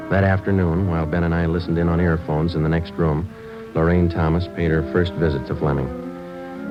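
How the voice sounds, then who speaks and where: A man's voice: deep voice, natural voice. A man acting as a terse, no-nonsense police sergeant in an old radio crime drama, speaking in a clipped, matter-of-fact way. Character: no-nonsense male police sergeant, terse, hard-boiled, narrating his cases. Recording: muffled vintage radio recording with music.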